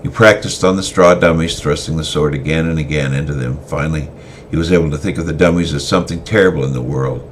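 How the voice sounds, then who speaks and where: A man reading a story aloud in a semi-dramatic style, halting often with fillers and restarts. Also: very deep voice, slight background noise.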